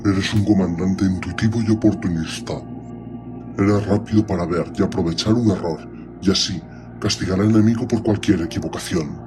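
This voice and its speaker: Man playing a deep, imperious ancient warlord. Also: over music, natural voice. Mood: condescending. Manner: commanding, disdainful, deliberate. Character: ancient imperious superhuman warlord primarch, menacing and coldly condescending. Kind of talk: dramatic audio drama acting.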